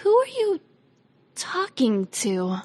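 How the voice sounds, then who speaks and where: A woman's voice: very high voice, wildly swooping pitch, slow pace, soft background music, heavily acted. A woman playing a curious young girl who keeps questioning a friend's odd stories. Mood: incredulous.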